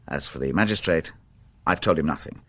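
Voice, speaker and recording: Deep-voiced, shrewd police inspector. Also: male voice, fairly quick, fairly bright tone, heavily acted. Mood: respectful, insistent. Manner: polite, guarded, understated. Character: shrewd, dogged, deferential European police inspector. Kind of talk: radio drama acting.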